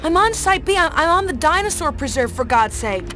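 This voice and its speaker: An actress playing an anxious stranded woman radioing for rescue, urgent and halting, in a game drama. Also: very high voice, very animated, loud music behind the voice.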